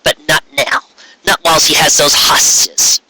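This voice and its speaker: A man's voice: a little high, moderate pace, pushed and bright, breathy edge, full character voice, poor audio. A man voicing a young female unicorn magician who explains herself earnestly and defensively in a story. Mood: earnest explaining.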